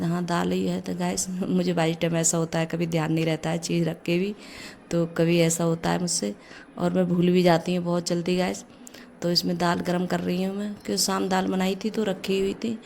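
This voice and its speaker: A middle-aged woman with a low, gravelly voice made hoarse by a cold talks through her morning chores in a casual home vlog. Her pitch stays almost flat as she chats along. A faint hum of the house sits behind her. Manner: chatty, leans on the filler "jaise". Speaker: vlogger, mother. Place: home kitchen.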